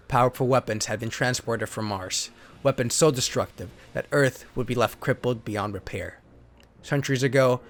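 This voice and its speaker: A man's voice: medium pitch, a bit bright. A man reading a retro sci-fi story as a theatrical, solemn narrator. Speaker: host and reader.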